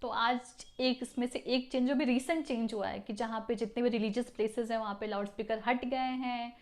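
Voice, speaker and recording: A woman with a somewhat high voice talks to her viewers as a video host. Her delivery is casual and explanatory, like an informal chat. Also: steady tone, fairly quick.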